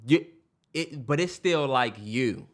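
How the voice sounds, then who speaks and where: A man's voice: mid-range voice, expressive, slow pace, a bit bright. A young man co-hosting a podcast, talking loosely with profanity and lots of restarts. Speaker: podcast co-host. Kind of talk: casual chat.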